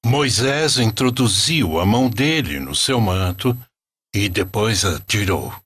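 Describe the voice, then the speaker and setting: A Brazilian man voicing a grandfather who tells prophets' stories in a solemn, deliberate storybook manner for a cartoon. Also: on the low side, lively intonation, fairly bright tone, slightly rough, no echo, heavily acted. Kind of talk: slow storybook narration for an animated series.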